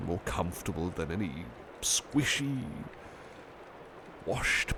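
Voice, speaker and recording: Guy doing a gentle shy butler plushie for asmr, really slow n halting, polite, tender, noisy background. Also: sits low, animated. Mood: grateful, tender. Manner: hesitant, polite, tender, halting with soft repetitions. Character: gentle, bashful butler turned into a cuddly plush doll. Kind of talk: ASMR roleplay, soothing personal attention.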